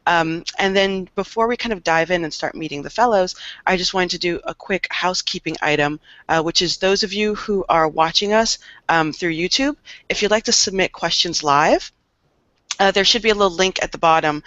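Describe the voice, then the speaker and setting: A woman's voice: fairly low pitch, normal speed, fairly bright tone, no room sound. A woman facilitating a live video call Q&A, with many hesitant fillers. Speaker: host and facilitator. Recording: dull lo-fi video call audio.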